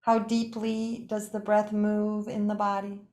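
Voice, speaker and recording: A woman teaching yoga nidra, leading a guided meditation in an unhurried, gently inviting way, with pauses between short phrases. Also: mid-range voice, completely flat delivery. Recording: echoey, roomy sound.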